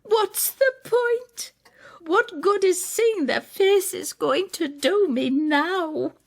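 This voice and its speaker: A woman's voice: extremely high pitch, expressive, drawn-out words, voice pushed up, heavily acted. A woman voicing an anxious, bitter, plaintive female neighbour of nearly seventy in an audio story. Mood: demanding. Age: older. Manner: plaintive, demanding.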